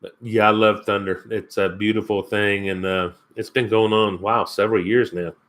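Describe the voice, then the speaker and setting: A laid-back man with a Kentucky accent, talking to his viewers on a live stream. His voice sits a little low, and he rambles along, chuckling and answering chat comments as they come in. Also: flat delivery, normal speed, a bit bright. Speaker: outdoors channel host.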